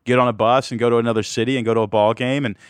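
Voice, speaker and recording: A man's voice: mid-range voice, very fast, clean tone, no echo. A man in his forties hosting a business podcast, chatting casually and joking with his co-host. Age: 40s. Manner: joking, conversational, animated.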